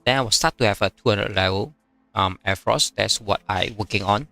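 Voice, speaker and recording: A man whose first language is Chinese, streaming a strategy game and chatting casually, with many fillers and pauses as he reads viewer comments. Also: lowish voice, gravelly, bright, forceful tone, no room sound, soft background music. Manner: halting, many fillers, reads chat aloud.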